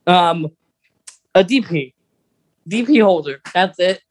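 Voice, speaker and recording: A podcast co-host in casual film discussion, talking haltingly and thinking out loud. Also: mid-range voice, expressive.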